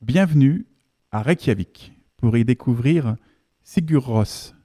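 Man presenting a radio music show, mellow warm tone, mid-range pitch, measured scripted delivery that slows on key words, studio mic, faint music underneath. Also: animated. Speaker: radio host.

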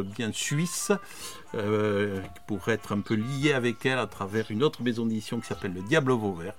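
A man from France hosting a radio interview, conversational and chatty, with frequent hesitations. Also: medium pitch. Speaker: radio host.

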